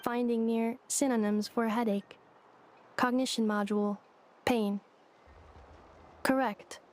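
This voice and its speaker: A woman's voice: a little high, fairly even intonation, slow, a little mellow. A woman voicing a polite humanoid maid robot in a video game, speaking in formal, clipped robotic phrases. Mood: mechanical.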